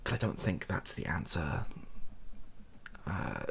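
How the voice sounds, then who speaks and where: A softly spoken British man improvising a monologue as a video host, hesitant, thinking aloud and correcting himself as he goes. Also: sits low, very animated, slow, creaky, slight background noise. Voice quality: soft-spoken.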